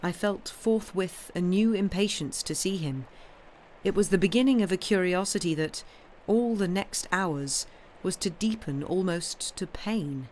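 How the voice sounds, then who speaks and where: A woman reading a classic novel aloud in an expressive, literary narrating style. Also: fairly low pitch, a little slow. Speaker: reader, streamer. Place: live stream. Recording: clearly noisy background.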